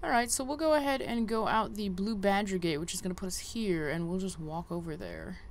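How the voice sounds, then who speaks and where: A woman's voice: medium pitch, fairly quick. A woman giving casual, chatty game walkthrough commentary, thinking aloud as she plays. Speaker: gaming video host.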